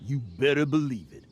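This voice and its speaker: An actor playing a boastful, shifty adventurer in a video game, blustering and swaggering with hesitations. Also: male voice, mid-range voice, lightly acted. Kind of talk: exaggerated video game character acting. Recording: game dialogue over background music.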